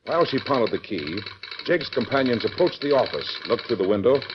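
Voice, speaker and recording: A man's voice: mid-range voice, very animated, a little fast, background noise. A man narrating a western serial dramatically in 1940s radio announcer style. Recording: muffled vintage radio recording.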